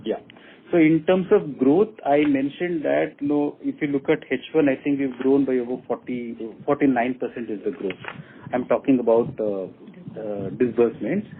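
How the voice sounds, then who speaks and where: A man with an Indian accent, a company executive answering questions on an earnings call in a deliberate way, laying out his points one by one. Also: medium pitch, fairly even intonation. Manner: deliberate, repeats and enumerates points. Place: telephone conference call. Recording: muffled phone line with faint noise.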